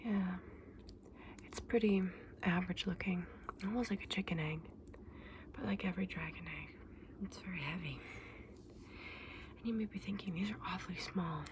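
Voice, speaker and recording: A woman whispering breathily in a gentle, unhurried ASMR roleplay as a shopkeeper talking to a customer. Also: on the low side, noisy background. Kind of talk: whispered ASMR roleplay as a dragon egg saleswoman.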